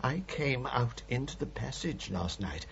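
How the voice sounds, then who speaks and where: A man's voice: medium pitch, expressive, a little slow, creaky, crowd noise. A man playing a nervous, polite lodger in a radio crime drama, hesitant and appalled, with a British accent. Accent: British English. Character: nervous, polite male lodger questioning a murderess. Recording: dull radio recording with faint hiss.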